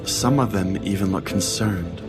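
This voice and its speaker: Guy as a brash sweary pastor telling a dramatic comedy story, lowish voice, music under it. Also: measured pace. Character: Pastor Jay, brash foul-mouthed pastor and show host. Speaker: narrator and podcast host. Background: prominent music.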